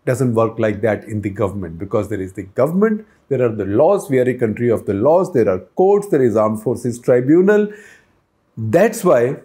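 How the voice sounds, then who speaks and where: Older guy explaining the news to camera, warm low-key tone, asks the viewer questions, lapel mic in his office. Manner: conversational, poses questions to viewers. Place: book-lined office, indoors.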